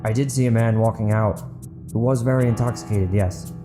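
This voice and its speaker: A man voicing a large, boorish, excitable busybody of a small town in a dramatic audiobook. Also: on the low side, fairly quick, over music, natural voice. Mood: hesitant, defensive. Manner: blustering, yelping when worked up. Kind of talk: dramatic audiobook character acting.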